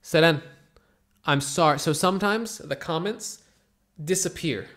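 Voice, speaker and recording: An American man from Florida, an English teacher, reads viewer questions aloud and answers them in a relaxed, rambling way with many pauses. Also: a little high. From Florida, USA. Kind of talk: casual Q&A, reading comments aloud.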